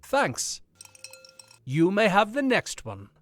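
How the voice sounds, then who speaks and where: A man's voice: sits high, huge pitch swings, takes it slowly, fairly bright tone, exaggerated voice. An actor playing a witty, urbane male author in an old-time radio drama, teasing in a dry, knowing way. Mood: wry.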